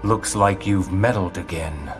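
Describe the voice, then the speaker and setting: An actor playing a stern, contemptuous officer in a video game, cold and condescending. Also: male voice, deep voice, a little slow, over music. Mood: disdainful. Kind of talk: video game cutscene acting.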